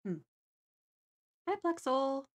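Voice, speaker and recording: A woman's voice: sits high, expressive, slow. A woman hosting a livestream, chatting casually and playfully with her co-host and viewers.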